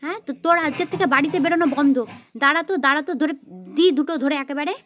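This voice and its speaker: Woman playing an exasperated mother, high-pitched voice, nagging and threatening, repetitive scolding, children's play-acting. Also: animated, a little fast, a bit bright, lo-fi sound. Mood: angry scolding. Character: exasperated, scolding mother of a naughty little girl.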